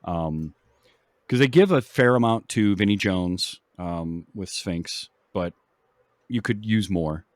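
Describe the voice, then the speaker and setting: A man hosting a casual film discussion in a conversational, measured, agreeable way. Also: sits low, animated, no room sound, studio quality. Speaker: film podcast host.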